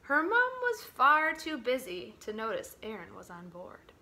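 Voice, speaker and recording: A woman reading a children's picture book aloud as a teacher would, dramatically, dropping to a hush and swelling for emphasis. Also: a little high, expressive, unhurried. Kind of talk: expressive children's storybook read-aloud.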